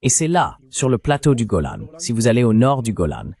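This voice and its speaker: A man reading a measured, explanatory French voice-over of an interview. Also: medium pitch, huge pitch swings, gravelly, a little mellow. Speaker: translation voice-over.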